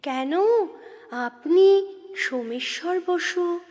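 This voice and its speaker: An actress playing a young woman who is a poet's devoted admirer, wistful, earnest and gently frank, in audio drama style. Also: extremely high pitch, animated, extremely slow, heavily acted. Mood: questioning. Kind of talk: Bengali audio drama acting. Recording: reverberant voice with music underneath. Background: background music.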